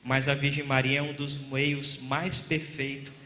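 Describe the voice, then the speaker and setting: A man's voice: medium pitch, completely flat delivery, unhurried. Brazilian man preaching in an echoey hall. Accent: Brazilian Portuguese. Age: 30s. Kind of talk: prayer and live sermon. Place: large hall at a religious gathering. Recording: echoey hall, loud guitar behind. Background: loud guitar music.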